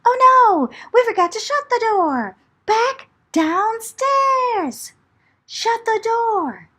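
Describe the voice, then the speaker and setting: A woman's voice: very high voice, big pitch swings, drawn-out words, a bit bright. A woman reading a picture book aloud to children in a dramatic, theatrical way, with rhythmic chanting phrases. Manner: dramatic, theatrical, with whispers and exclamations. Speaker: children's storyteller.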